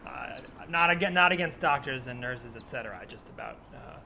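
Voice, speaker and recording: A man's voice: medium pitch, expressive, fairly quick, creaky, bright, forceful tone, heavily acted. A man playing a cynical, opinionated amateur historian out jogging in an improvised comedy scene, talking casually with hesitant, rambling, questioning phrasing. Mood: sheepish, apologetic. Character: cynical, self-styled militia historian jogger, apologetic yet opinionated. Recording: background noise of outdoor soundscape. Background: added outdoor ambience soundscape.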